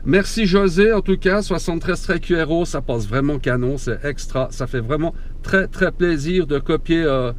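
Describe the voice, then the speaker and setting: Male radio operator, slightly high pitch, friendly and talkative, casual contact chat, call signs, noisy in-car recording. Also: normal speed, hardly any pauses. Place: inside a parked car, mobile station. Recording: clear noise, recorded in a vehicle.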